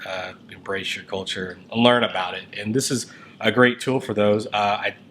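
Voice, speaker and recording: A man's voice: on the low side, steady tone, normal speed, fairly bright tone. A man co-hosting a show in casual conversation, talking in a rambling, informal way. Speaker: show co-host. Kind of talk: casual chat. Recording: noticeable background noise.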